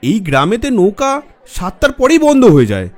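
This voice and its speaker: An actor playing a caring, nagging young bridegroom friend in a horror audio drama. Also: male voice, sits high, huge pitch swings, moderate pace, a little mellow. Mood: worried, cautioning. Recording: music and faint crowd behind. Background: music, faint crowd murmur.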